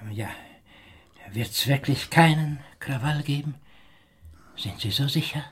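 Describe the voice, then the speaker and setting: A man's voice: mid-range voice, slow, slightly rough. An actor playing a wry municipal aide who chuckles as he reports, in a radio drama.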